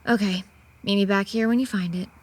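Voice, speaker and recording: A woman's voice: mid-range voice, steady tone, brisk, natural voice. Woman playing a sardonic, exasperated young woman. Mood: defensive. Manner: sarcastic, conversational. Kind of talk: horror audio drama dialogue.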